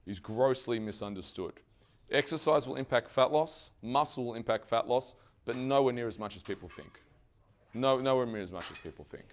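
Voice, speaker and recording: A man losing his voice gives a lively fitness seminar to trainers and keeps asking the room questions. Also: mid-range voice. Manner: energetic, asks the audience questions and answers them himself; swears casually. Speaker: fitness coach presenting to trainers. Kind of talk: live seminar presentation.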